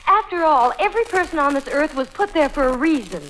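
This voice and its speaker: A woman's voice: sits high, lively intonation, fairly quick, fairly bright tone. An actress playing an earnest, easily hurt young daughter and sweetheart, girlish and plaintive, in a 1940s radio comedy. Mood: pleading. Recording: noisy old radio recording.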